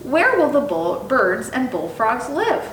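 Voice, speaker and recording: A woman reading the part of a patient mother in a children's storybook, gently questioning in a singsong, drawn-out way. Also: huge pitch swings, exaggerated voice. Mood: questioning, concerned. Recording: echoey room, distant mic, faint rumbling noise.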